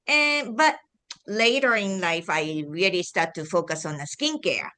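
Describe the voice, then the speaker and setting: A woman from Japan speaking English with a Japanese accent, a guest telling her story in a halting way with many restarts. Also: lowish voice, sing-song, pushed and bright. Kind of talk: casual interview talk.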